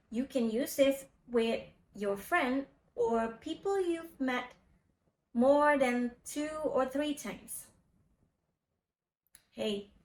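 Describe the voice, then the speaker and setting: Woman, fairly high voice, very slow and deliberate teacher, roomy echo, faint music behind. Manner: speaks slowly and deliberately, demonstrating phrases. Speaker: language teacher. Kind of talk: slow, careful lesson explanation.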